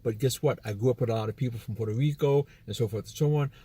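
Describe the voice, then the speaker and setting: A man's voice: mid-range voice, quick pace, mellow, slightly rough, no echo. An American man hosting a video, talking in a loose, rambling monologue and often repeating himself. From United States. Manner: rambling, repeats words, quotes imagined chats. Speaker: video host. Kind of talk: casual monologue to camera.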